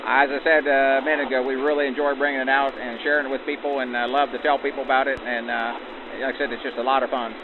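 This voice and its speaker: A man's voice: mid-range voice, flat delivery, fast, narrow, tinny audio. A man, a crew chief, explaining his work in a plain, practical way in an interview. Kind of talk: unscripted interview answers. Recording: band-limited, noisy outdoor vintage-style sound.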